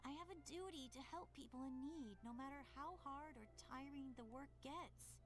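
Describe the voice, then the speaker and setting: A youthful game heroine played by a woman with a high voice over clear background music. She is a dutiful doctor and stubborn little sister, always defending herself. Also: moderate pace, close to an everyday voice. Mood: defensive, stubborn. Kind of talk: video game voice acting.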